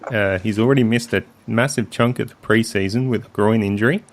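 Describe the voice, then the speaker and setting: A man with a croaky voice, hosting a casual sports podcast in a relaxed way with frequent ums. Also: fairly low pitch, brisk. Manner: relaxed, leads the segments, many ums. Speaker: podcast host.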